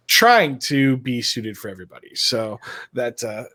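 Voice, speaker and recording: A man's voice: mid-range voice, very animated, unhurried, bright, forceful tone. A man leading a gaming guild, talking on a casual live-stream panel, joking and rambling with lots of fillers.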